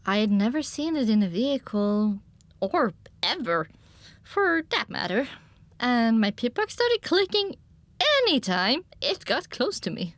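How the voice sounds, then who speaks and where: High-pitched, expressive woman narrator. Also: unhurried, dry recording. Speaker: audiobook narrator. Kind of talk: first-person scripted story narration.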